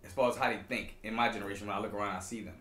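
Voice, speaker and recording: A man's voice: fairly low pitch, steady tone, rapid-fire, fairly bright tone. A man in his late twenties with a New Orleans accent, rambling with many fillers in casual podcast chat. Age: 20s. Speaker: podcast co-host. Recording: roomy echo.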